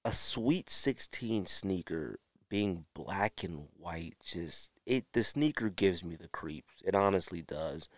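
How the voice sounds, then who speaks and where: A man with an American accent giving opinionated solo podcast talk in a blunt, emphatic way, with drawn-out pauses and repeated phrases. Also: deep voice, lively intonation, no echo. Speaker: podcast host.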